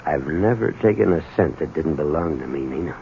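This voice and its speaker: An actor playing a troubled, desperate husband in a 1970s radio drama, halting and emotional. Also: male voice, rumbling low, expressive, warm tone, muffled recording. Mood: weary, resigned. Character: troubled, desperate husband and small business owner, bitter toward his father. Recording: thin, noisy old radio broadcast.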